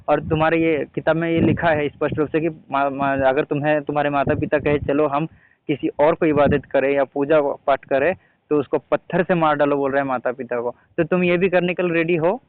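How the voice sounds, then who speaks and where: A man's voice: on the high side, fairly even intonation, normal speed, background noise. Insistent man on a muffled phone call. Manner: insistent, pressing with repeated questions. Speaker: debater questioning a caller. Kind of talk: argumentative phone debate.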